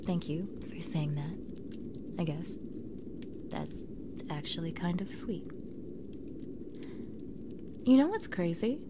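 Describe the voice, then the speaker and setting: A young woman playing a defensive mean girl with a vulnerable side in an intimate ASMR roleplay, halting and often dropping to a breathy near-whisper. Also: lowish voice. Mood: sheepish, softening, then hurt, confiding. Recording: clearly audible background noise bed.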